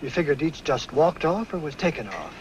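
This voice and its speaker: An actor playing a private detective's loyal, worried sidekick, speaking plainly in 1950s film noir style. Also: male voice, fairly high pitch, very animated, brisk, very noisy.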